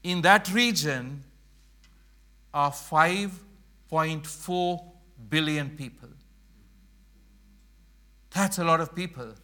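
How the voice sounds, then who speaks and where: Earnest high-voiced man from Sri Lanka. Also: bright, forceful tone. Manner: earnest, emphatic with slow stressed phrases. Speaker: mission speaker. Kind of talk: church presentation.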